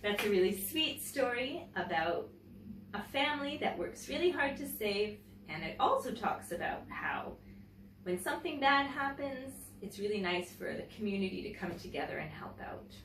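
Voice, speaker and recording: A woman teacher reading a picture book aloud to children in an expressive storytelling manner, pausing to comment and ask questions. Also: mid-range voice. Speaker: teacher and story-time host. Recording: roomy, distant mic, faint background noise.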